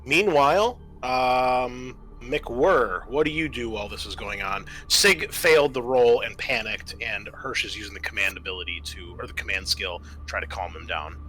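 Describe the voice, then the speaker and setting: A man's voice: medium pitch, normal speed, hardly any pauses, bright, forceful tone, low-quality recording. A man acting as game master in a tabletop roleplaying game, explaining rules and narrating what the players' characters do.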